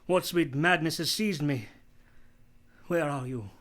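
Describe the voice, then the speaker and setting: An actor playing a passionate, commanding blind gentleman in a live period radio romance, theatrical and fervent in delivery. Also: on the high side, unhurried. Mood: agitated, desperate.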